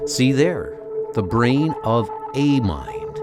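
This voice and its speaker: A man voicing a calm, grandiose alien museum guide in a comic book audio drama, speaking in a measured, formal way. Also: medium pitch. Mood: serene, stately. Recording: music under the voice. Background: music.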